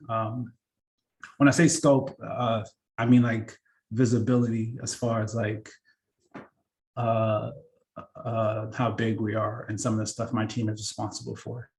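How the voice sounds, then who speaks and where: A man's voice: fairly low pitch, a little slow. Man, 30s, workshop presenter; casual, chatty, filler-heavy delivery. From born in Kenya, grew up in Seattle. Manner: relaxed and chatty, many fillers and restarts. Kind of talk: casual career talk to students.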